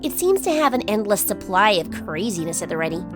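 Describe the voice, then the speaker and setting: A woman playing an eager, earnest young pony princess and devoted student in a fan audio drama, talking quickly. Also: mid-range voice, very animated, lightly acted. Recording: loud music behind the voice.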